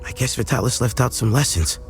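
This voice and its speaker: An actor playing an earnest young blacksmith's apprentice with a rough past, speaking plainly in a video game. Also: male voice, medium pitch, a little fast, soft background music, close to an everyday voice. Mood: explaining, guarded.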